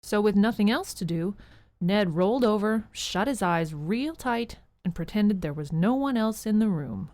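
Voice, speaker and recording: A woman's voice: mid-range voice, expressive, moderate pace, a little mellow, no room sound. A woman narrator reading a scripted holiday story for a radio drama in a storytelling style. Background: music.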